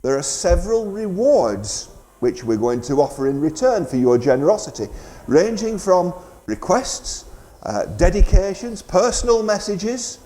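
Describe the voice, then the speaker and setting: A man's voice: high-pitched, sing-song, a little slow, dark tone. A man presenting live community radio, making a fundraising announcement in an informal, hesitant, bantering way.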